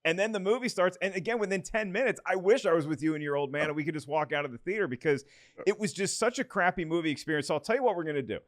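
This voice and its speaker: A man's voice: high-pitched, racing. A man hosting a movie podcast with casual banter and personal anecdotes. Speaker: podcast host.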